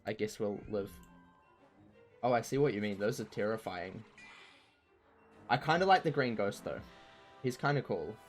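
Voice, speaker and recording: A man with a croaky voice chatting casually while streaming a game, rambling and poking fun at himself. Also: on the low side, animated, moderate pace, long pauses, soft background music. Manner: rambling and self-mocking, laughs easily. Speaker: streamer playing an online game. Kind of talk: casual chat while gaming.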